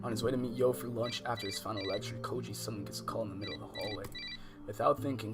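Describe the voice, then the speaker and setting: A man livestreaming a visual novel, mixing casual, slangy, swearing chat with reading game text aloud, often exasperated. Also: lowish voice. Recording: music behind the voice. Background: game music.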